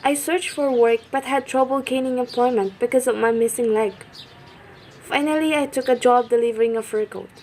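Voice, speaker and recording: Student actress, high-ish voice, plain storytelling read, faint background noise. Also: female voice, fairly even intonation, a little fast, a little mellow. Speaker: student voice actor. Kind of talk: amateur radio drama acting.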